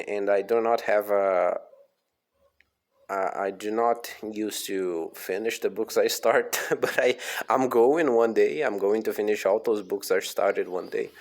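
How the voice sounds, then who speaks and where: A man with a Portuguese accent, an English learner reading aloud and commenting casually, hesitant and repeating words to practice them. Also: fairly low pitch.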